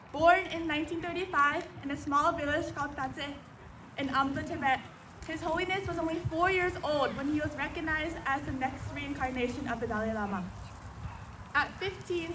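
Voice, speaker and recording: A woman giving an earnest prepared speech to a crowd at an outdoor park gathering. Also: high voice. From Seattle, USA. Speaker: event speaker. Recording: distant, noisy outdoor recording.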